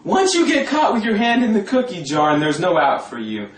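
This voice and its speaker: A man telling a personal story informally in a sardonic, gossipy, coarse-mouthed and animated way. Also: high voice, a little fast. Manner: animated, breaking often into laughter. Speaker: storyteller talking about a past religious community. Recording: roomy echo, distant mic, faint noise.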